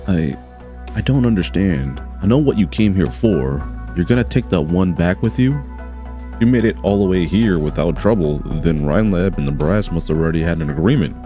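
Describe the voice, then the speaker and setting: A man voices a weary, wary prison official in a game fan dub. His voice is low and mellow, with a guarded grumble. Light music sits behind it. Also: expressive, moderate pace. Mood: wary, hesitant. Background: background music.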